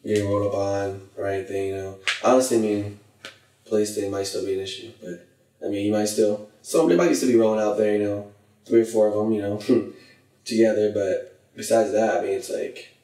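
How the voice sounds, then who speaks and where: A young man talks in a low, fairly flat voice, easygoing and filling his sentences with "you know". He sits away from the microphone, and the room around him rings with echo. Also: brisk, distant mic. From Hawaii, raised in California. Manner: laid-back, many "you know" fillers, trails off quietly. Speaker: podcast guest, rapper. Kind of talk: casual podcast chat.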